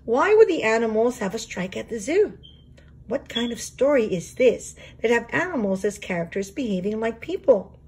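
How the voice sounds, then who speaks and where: A woman reading a children's storybook aloud as a teacher would, expressive and explanatory. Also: fairly high pitch, moderate pace, slightly rough.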